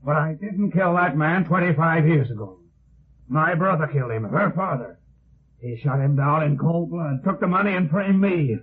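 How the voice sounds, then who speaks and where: Bitter, raspy vengeful madman, old radio. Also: fairly high pitch, moderate pace. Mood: bitter, ranting. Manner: ranting, melodramatic. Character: vengeful, bitter older man, unhinged confessed killer. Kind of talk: 1950s radio drama acting. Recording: muffled vintage radio recording.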